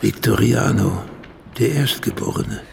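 Very gravelly, low, grave man. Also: unhurried, slight background noise. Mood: grave, knowing. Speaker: actor in radio drama. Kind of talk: radio drama dialogue. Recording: music bed behind the voice.

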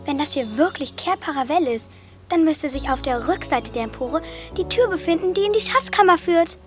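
Someone acting an eager curious little sister, really high voice, kids audio play w/ music behind. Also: animated, normal speed. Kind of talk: children's audio drama acting.

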